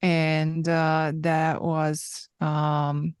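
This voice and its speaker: A woman in her thirties with a low, smooth voice, recounting her story as an interview guest. She speaks slowly and reflectively, pausing often. The sound is dry and close. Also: completely flat delivery, a little mellow, dry recording. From Hungary. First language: Hungarian. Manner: thoughtful and reflective, with frequent pauses.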